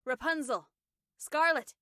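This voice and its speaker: A woman's voice: high voice, unhurried, bright, forceful tone, no room sound, studio quality. An actress playing a cool, pragmatic, watchful female squad member in an anime game, composed and matter-of-fact. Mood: quietly concerned. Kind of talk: scripted anime game voice acting.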